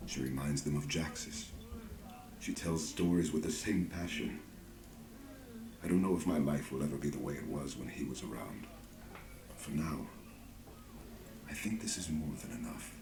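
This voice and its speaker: Very deep, solemn loyal soldier. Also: male voice, fairly even intonation, normal speed, pauses often, echoey room, a crowd in the background. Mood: gentle, reflective. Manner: solemn, earnest delivery. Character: loyal, heartfelt soldier companion, grown man with deep voice. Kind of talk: dramatic video game voice acting.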